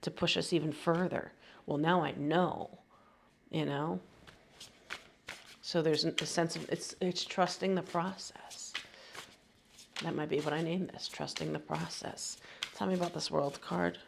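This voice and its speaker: A woman's voice: low voice, steady tone, a little slow, creaky, long pauses, background noise. A woman with a cold, sounding sniffly, giving a casual, rambling tarot reading full of slang and fillers. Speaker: tarot reader.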